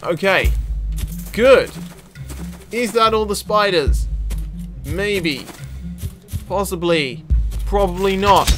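A man's voice: sits high, animated, takes it slowly, pauses often. A man giving casual let's-play commentary, exclamatory and talking to the game's characters as he plays. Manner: exclamatory, talks to the game characters. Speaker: gaming video host. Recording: game music behind the voice. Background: video game music.

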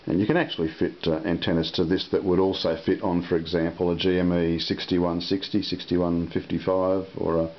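A man giving a casual, unscripted product demonstration in a halting, unhurried way. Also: sits low, flat delivery. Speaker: product reviewer. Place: indoor room at a table. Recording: muffled lo-fi audio with hiss.

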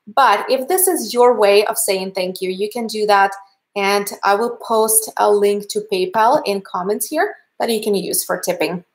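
A woman's voice: mid-range voice, a little slow. A woman in her thirties with a Russian accent, chatting in a friendly, upbeat way as she wraps up a livestream painting class from a home studio. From Canada. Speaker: painting instructor. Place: home art studio, indoors.

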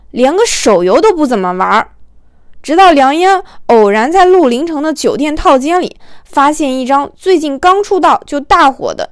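A woman narrating a comic romance novel as an audiobook in an expressive, colloquial storytelling manner. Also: high voice, no echo, studio quality.

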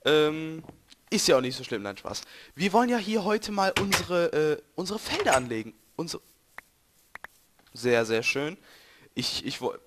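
A young man, a bit hoarse, rambling and joking to viewers while commentating his gameplay. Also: a little high, big pitch swings, a little slow, background noise. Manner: joking, rambling, self-correcting, talks to viewers. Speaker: let's play gamer.

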